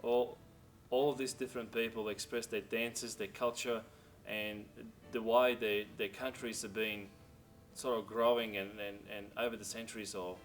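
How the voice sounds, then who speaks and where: A man and parent speaking in a relaxed, rambling way about the festival. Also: fairly low pitch, normal speed. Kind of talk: casual interview. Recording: distant from mic, music behind.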